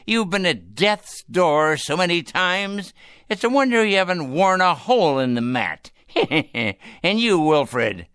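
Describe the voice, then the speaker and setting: An actor playing a dying, wealthy old grandfather in a radio drama, sardonic, theatrical and deliberate as he mocks his family. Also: high voice, very animated, a bit bright, clean tone, dry recording, clean studio sound, exaggerated voice. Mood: biting sarcasm. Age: older.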